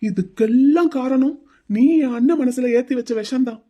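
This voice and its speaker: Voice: high voice, moderate pace, warm tone. A performer voicing a hurt, resentful young groom who talks in an accusing, heated way in an audio drama.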